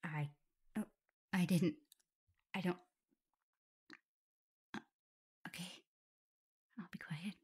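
A woman's voice: lowish voice, steady tone, slow pace, pauses often, exaggerated voice. A woman playing a shy, caring, self-doubting young werewolf in an intimate ASMR roleplay, speaking hesitantly. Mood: insecure, hesitant. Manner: hesitant, stammering, soft, breathy whispers at times.

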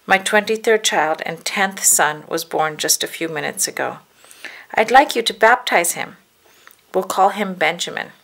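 Woman reading as a plain-spoken colonial father, slightly creaky. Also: medium pitch, expressive, unhurried, a bit bright, full character voice. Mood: earnest. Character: proud, practical colonial father in a storybook.

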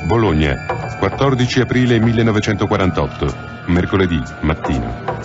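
A man's voice: deep voice, expressive, moderate pace. A man announcing a radio drama in a scripted introduction. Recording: dull sound under loud music. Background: loud music.

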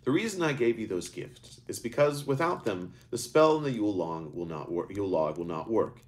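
A man voicing a kind, ancient woman shopkeeper who speaks gently and patiently. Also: fairly low pitch, expressive, brisk, close to an everyday voice. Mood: gentle.

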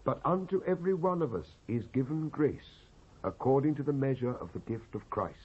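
A British man giving a solemn, declamatory dramatised scripture reading in measured phrases with pauses. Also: medium pitch, lively intonation, slightly rough. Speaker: Bible reader, actor. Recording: dull lo-fi cassette transfer.

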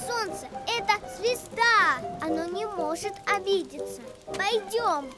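An earnest little schoolgirl from a cartoon, with an extremely high, childlike voice. She takes her time and pleads with great seriousness, over prominent music. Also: a little slow. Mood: pleading.

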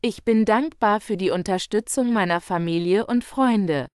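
A woman slowly reading German example sentences for learners, carefully enunciated and repeated. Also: medium pitch, a little mellow, smooth, no room sound, studio quality. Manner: carefully enunciated, each phrase read twice. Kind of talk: slow, clear model sentences for learners.

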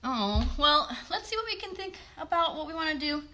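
A woman in her thirties with a high, bright voice hosts a show for small children. She is animated and encouraging, moving between singalong and simple chat, recorded on a phone in a bedroom. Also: moderate pace. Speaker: children's livestream host and puppeteer. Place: bedroom, indoors. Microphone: phone at about arm's length or a little more.

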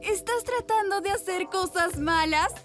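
A woman's voice: very high voice, a little slow, loud music behind the voice. A woman playing a bratty, haughty young girl villain in a Latin American cartoon dub, taunting and self-centred. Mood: mocking.

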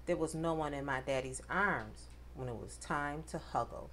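A woman reading a rhyming picture book aloud to children, with expressive, dramatic emphasis. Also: low voice, moderate pace, slight background noise. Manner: addresses children directly, dramatic emphasis on rhymes.

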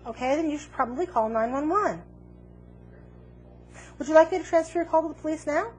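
A woman's voice: high voice, expressive, brisk, a little mellow, soft background music. A middle-aged woman hosting a live call-in show in a casual, blunt and unflustered way. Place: small TV studio. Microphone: lapel mic. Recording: noisy TV audio.

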